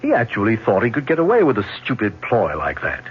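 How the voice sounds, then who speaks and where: An actor playing a ruthless, domineering middle-aged business tycoon in an old radio drama, speaking in a cool, commanding way. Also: male voice, lowish voice, huge pitch swings, fast, husky, exaggerated voice. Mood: scornful. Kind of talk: 1970s radio drama acting. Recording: dull, noisy old radio broadcast.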